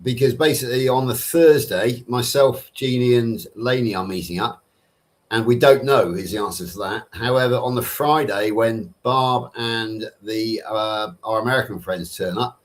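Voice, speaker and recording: A middle-aged Englishman with a plain Southern English accent talks casually on a live panel. His voice sits at an average pitch for a man. He answers in a wordy way, often circling back and rephrasing. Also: normal speed. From Somerset, England. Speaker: panel participant on a livestream. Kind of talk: casual live chat, answering viewers' questions.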